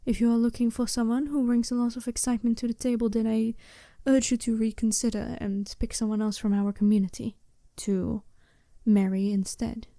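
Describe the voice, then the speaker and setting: A woman playing a smooth, businesslike and seductive werewolf bride in a soft-spoken ASMR roleplay, speaking politely and formally in measured phrases. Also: fairly high pitch, level tone, no room sound, clean studio sound, natural voice. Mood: courteous, curious.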